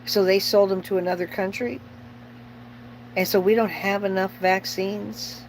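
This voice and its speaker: A woman's voice: mid-range voice, flat delivery, measured pace, a little hiss or hum, poor audio. A middle-aged woman chatting casually on a livestream as host, rambling and opinionated, bluntly repeating herself for emphasis.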